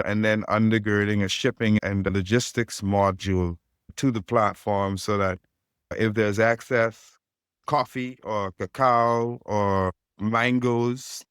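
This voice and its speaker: A man with a Caribbean accent, a fintech co-founder speaking as a podcast guest in a steady, businesslike way. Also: smooth, dry recording, clean studio sound. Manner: steady, businesslike pitch with stacked phrases. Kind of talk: podcast interview.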